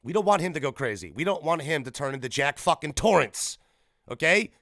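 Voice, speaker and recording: A man hosting his own podcast in casual chat, animated and swinging up in pitch and loudness for emphasis. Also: a little fast, dry recording, studio quality. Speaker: podcast host.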